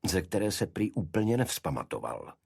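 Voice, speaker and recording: A man voicing a shrewd, serious Victorian detective in an audiobook, speaking deliberately and pointedly. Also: low-pitched, big pitch swings, creaky, a little mellow, breathy edge, no echo, clean studio sound, full character voice. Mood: intrigued, deliberate.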